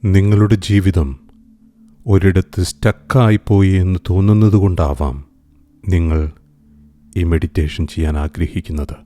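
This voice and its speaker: A man guiding a scripted meditation in a calm, soothing, gentle manner, pausing deliberately between phrases. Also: sits low. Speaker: meditation guide. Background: music.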